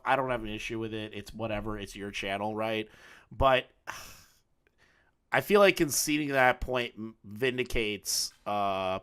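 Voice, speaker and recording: A man hosting a reaction commentary, blunt and profane in how he mocks what he watches. His voice has a bright, sharp edge. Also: mid-range voice. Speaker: commentary channel host.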